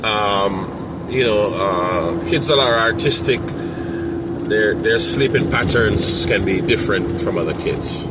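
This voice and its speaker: A man talking casually to camera as a parent coach, rambling with many fillers and restarts, with a strong, loud voice. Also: lowish voice, takes it slowly, heavy background noise.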